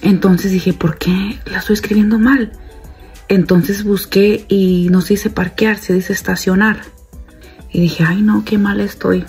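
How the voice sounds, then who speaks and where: A woman's voice: medium pitch, fairly even intonation, unhurried, a little mellow, slightly rough, faint music behind. A woman in her thirties, a stay-at-home mom, chatting casually to her phone camera at home and calling her viewers "girls". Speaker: mom vlogger. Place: home kitchen and bedroom, indoors. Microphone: phone held at arm's length.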